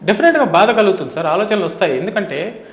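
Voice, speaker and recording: A middle-aged man speaks quickly and emphatically in a fairly high voice. He makes his points in an opinionated interview style, repeating phrases and posing rhetorical questions. The room adds noticeable echo. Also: lively intonation, creaky. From India. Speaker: interview guest, former air force officer. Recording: roomy, echoing indoor sound.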